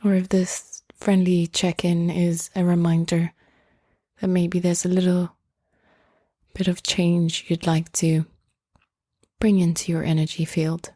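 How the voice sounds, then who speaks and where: Slow, mellow, low-voiced woman guiding meditation. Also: level tone, no echo, clean studio sound. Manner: gentle, unhurried, with long pauses between phrases. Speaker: guided meditation host. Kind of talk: guided meditation, slow and soothing.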